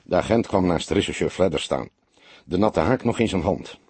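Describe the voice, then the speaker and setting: A man the reading a crime story as an audiobook, in a measured, expressive storytelling manner. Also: sits low, husky, no echo. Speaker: audiobook narrator. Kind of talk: scripted literary narration of a crime story.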